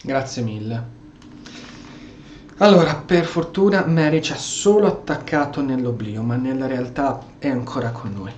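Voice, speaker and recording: A man's voice: mid-range voice, normal speed, a little mellow, roomy sound, lo-fi sound, a little hiss or hum. A man with an Italian accent giving casual let's play commentary as a gaming streamer.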